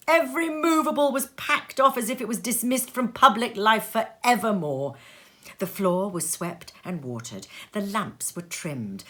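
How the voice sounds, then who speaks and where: A woman narrating a classic story expressively and dramatically, savouring each phrase. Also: medium pitch. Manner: animated, dramatic, savours lists. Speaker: storybook reader. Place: sitting room.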